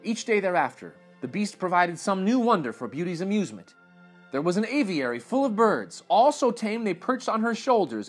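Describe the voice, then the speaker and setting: Man, storybook narration, expressive swooping delivery, husky tone, faint music behind. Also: high voice. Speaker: children's story reader. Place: small furnished room indoors.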